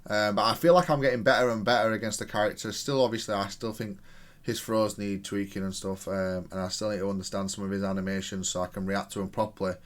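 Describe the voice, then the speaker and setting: Low-voiced man analysing his gameplay. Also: steady tone, quick pace. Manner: self-correcting, analytical, thinking aloud. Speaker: video game player and content creator. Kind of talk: casual gameplay commentary, analysing own match.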